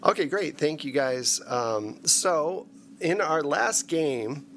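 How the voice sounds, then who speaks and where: Slow-paced man narrating as game master. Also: fairly high pitch, expressive, fairly bright tone, a little hiss or hum. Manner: conversational, explanatory. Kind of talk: narrating a tabletop sci-fi game.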